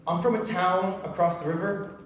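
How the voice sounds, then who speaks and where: Man acting enigmatic lonely male flirt, measured, teasing, stage play reading, very reverberant room, faint background noise. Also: high voice, distant mic, natural voice. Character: mysterious, lonely, smoothly flirtatious male stranger. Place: large echoey room, recorded from far off.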